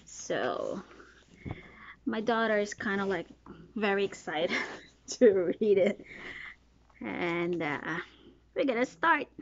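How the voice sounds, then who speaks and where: A woman's voice: medium pitch, very animated, slow pace, pauses often, slight background noise. A woman in her thirties reading a picture book aloud to her small child in a sing-song way, prompting with questions and counting. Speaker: mother reading to her toddler. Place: small bedroom, sitting on a bed. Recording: dull lo-fi home recording.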